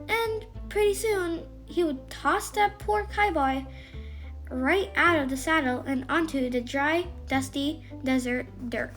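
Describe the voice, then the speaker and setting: A woman narrating a scripted children's bedtime story in an expressive, emphatic way. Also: high-pitched.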